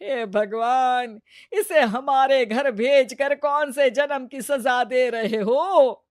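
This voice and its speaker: A woman's voice: high voice, slow, dry recording, clean studio sound. A woman voicing a middle-aged mother-in-law in a family story, grumbling and sarcastic. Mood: exasperated. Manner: exasperated, sarcastic. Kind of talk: Hindi family moral story dramatization.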